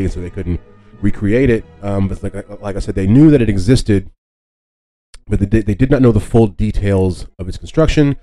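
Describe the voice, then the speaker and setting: A man hosting a podcast, explaining history casually in a halting, conversational way and often rephrasing himself. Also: low voice, mellow. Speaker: podcast host.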